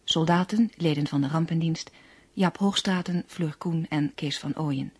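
Husky, low-voiced woman announcer. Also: measured pace, no echo. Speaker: radio drama announcer. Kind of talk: scripted credits announcement.

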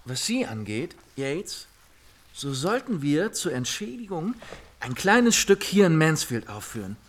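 An actor playing a jovial, teasing young gentleman of leisure in a German radio drama, with a wry manner. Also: a little high, very animated, a little slow. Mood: amused, scheming.

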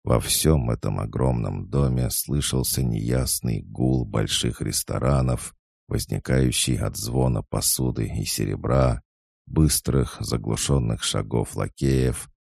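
A male audiobook narrator reading a classic novel in a measured, even way. His voice sits extremely low, heavy with gravel and dark in tone. The recording is dry and close to the mic. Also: no echo.

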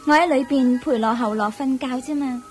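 An actress playing a tender, devoted young princess and mother in a Cantonese radio drama, speaking softly and affectionately with a questioning lilt. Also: high voice, brisk, faint music behind, natural voice. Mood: affectionate, happy.

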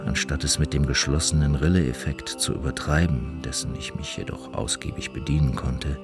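A man reading a literary text aloud as scripted narration, in a measured, formal way. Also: extremely low pitch, vocal fry. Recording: music playing behind the voice. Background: music.

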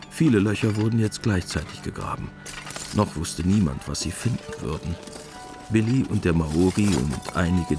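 A middle-aged actor playing a weary, wry war veteran, narrating a literary radio drama in a laconic, resigned way. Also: male voice, deep voice.

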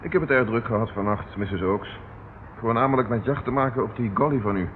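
In a narrow, faintly hissy old radio recording, an actor plays a calm male inspector leading an inquiry. He has a middling pitch and speaks in a methodical, businesslike, questioning way. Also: fast talker, natural voice. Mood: dry. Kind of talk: radio drama acting.

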